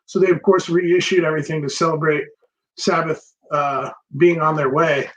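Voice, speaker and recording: A man hosting a casual chat about records, relaxed and rambling with many pauses and ums. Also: a little high, low-quality recording. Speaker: record store host.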